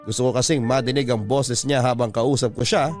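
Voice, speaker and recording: A man's voice: mid-range voice, fairly even intonation, brisk. A man narrating a listener's love story in classic radio drama style, in an intimate, confiding way. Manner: intimate, confiding, reads a listener's letter aloud. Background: faint music.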